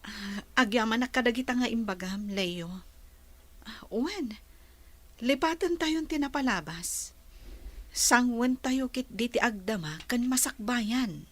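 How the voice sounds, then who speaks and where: A woman acting a gentle married village woman in an Ilocano radio drama, with an Ilocano accent. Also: on the high side, lively intonation, a little slow, long pauses. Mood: subdued, forgiving.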